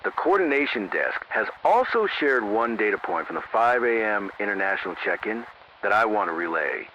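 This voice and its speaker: A man playing a sober local AM radio announcer in an analog horror emergency broadcast, speaking in a grave, measured, formal way through a radio filter. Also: on the low side, big pitch swings, bright, forceful tone. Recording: narrow-band AM radio with static noise.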